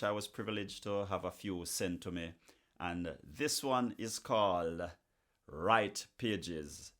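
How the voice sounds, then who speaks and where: A man with a fairly low voice presents music tracks as host. His delivery is casual and conversational, with halting phrases and frequent verbal padding as he introduces the next tune. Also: fairly bright tone. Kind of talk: casual radio-style presenting between tracks.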